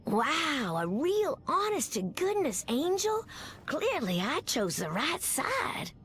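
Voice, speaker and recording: Tough flirty superheroine, American Southern drawl, creaky edge, animated melody, video game. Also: female voice, high voice, takes it slowly, faint music behind, heavily acted. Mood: friendly. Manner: drawling, folksy, teasing. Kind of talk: video game character voice acting.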